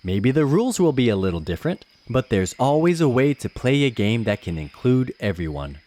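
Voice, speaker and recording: A man playing a gentle, patient ape papa who reads to his child in an expressive, gently instructive way. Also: mid-range voice, brisk, close to an everyday voice. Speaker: storybook reader. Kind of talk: animated children's read-aloud.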